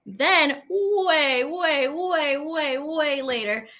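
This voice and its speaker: A woman's voice: high voice, extremely slow, a bit bright. A woman hosting a children's lesson as a teaching artist, speaking playfully and animatedly to viewers at home in simple, repeated phrases.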